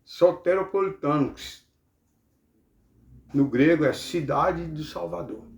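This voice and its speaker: A man's voice: a little high, takes it slowly, creaky, reverberant. A man from Bahia with a Bahian Brazilian accent, teaching the Bible like a preacher, in a didactic way with rhetorical check-ins.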